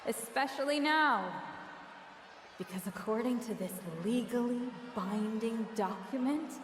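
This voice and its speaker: An actress playing a bitter, jealous female wrestler turned villain, delivering a slow, taunting promo speech. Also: a little high. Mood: smug, gloating. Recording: echoey arena reverb with noisy crowd.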